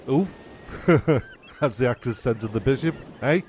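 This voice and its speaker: Man playing a chirpy, excitable comic castaway. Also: mid-range voice, unhurried, slight background noise, natural voice. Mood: excitable, jokey. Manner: excitable, jokey, chuckling. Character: chirpy, self-admiring man cast away on an island. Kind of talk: comic radio play acting.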